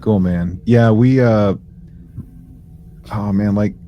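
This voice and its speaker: A man's voice: fairly low pitch, takes it slowly, highs cut off, faint music behind. A man co-hosting a podcast in casual conversation, rambling and joking in slangy everyday language. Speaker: podcast co-host. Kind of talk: casual chat and anecdotes between friends.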